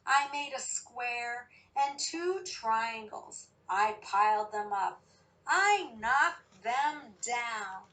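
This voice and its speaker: Woman, higher-pitched voice, very unhurried delivery, reads storybooks and gives simple lessons to small children with questions. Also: lively intonation, bright, forceful tone, poor audio. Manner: slow, deliberate, addressing children with questions. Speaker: children's story reader and teacher. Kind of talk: picture book read-aloud and lesson for young children.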